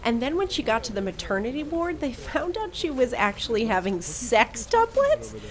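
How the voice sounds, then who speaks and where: A woman's voice: a little high, very animated, moderate pace. A woman playing a sly, scheming crew survivor with a sarcastic, wry manner.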